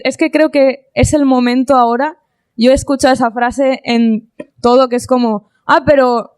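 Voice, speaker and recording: A young woman from Spain in her twenties, speaking as a guest in a panel debate, animated and chatty with lots of fillers and quoted asides. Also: a little high, no echo. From Gandía, Spain.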